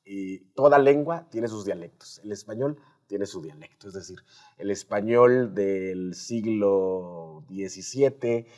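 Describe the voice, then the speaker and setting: A man's voice: lowish voice, takes it slowly, a little mellow. A man with a Mexican accent hosting a conversational interview, explaining emphatically and checking with tag questions. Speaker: host.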